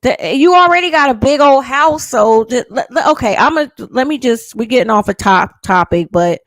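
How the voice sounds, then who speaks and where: Woman, high voice, chatty, joking, dry close sound. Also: animated, moderate pace, dry recording. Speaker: panel participant. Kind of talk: casual panel talk.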